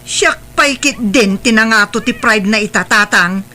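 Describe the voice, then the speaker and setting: Sharp, proud Filipino mother. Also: medium pitch, measured pace. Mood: irritated, defiant. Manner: curt, exasperated. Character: proud, defensive grown daughter and neglectful mother. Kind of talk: audio drama acting.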